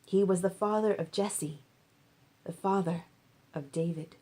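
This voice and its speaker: A woman's voice: lowish voice, fairly even intonation, unhurried, a little mellow. Woman reading a Bible story aloud. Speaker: audiobook reader. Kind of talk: dramatized scripture storytelling.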